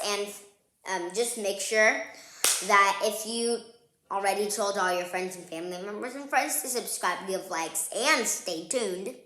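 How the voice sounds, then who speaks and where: Woman doing a kids read-aloud, bright voice, chatty and informal, kinda echoey room. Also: mid-range voice. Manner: chatty, informal, addressing young viewers directly. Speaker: host of a children's read-aloud channel. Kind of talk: storybook read-aloud and casual chat to viewers.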